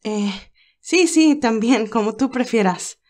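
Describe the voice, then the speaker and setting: A woman voicing a young nurse heroine, nervous and stammering, a little higher than her narration. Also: slow, a little mellow.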